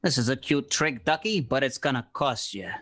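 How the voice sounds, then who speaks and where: A man's voice: medium pitch, lively intonation, normal speed, fairly bright tone, pitched below the performer's own voice. A man playing a cynical, terse, wisecracking private detective in a noir radio play. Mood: cool, hard. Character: hard-boiled cynical male private detective.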